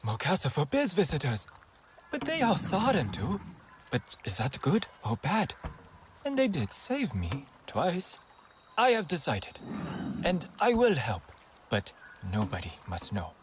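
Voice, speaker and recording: A man's voice: a little high, wildly swooping pitch, a little slow, slight background noise. An actor playing a cautious male villager who thinks things over hesitantly before agreeing to help. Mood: guarded. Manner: hesitant, thoughtful.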